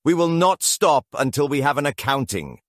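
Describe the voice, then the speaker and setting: A man voicing a scheming, obsequious demon lord in a theatrical, shrill audiobook performance. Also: very animated, moderate pace, no room sound, studio quality. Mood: pleading, fawning. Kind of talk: audiobook character acting.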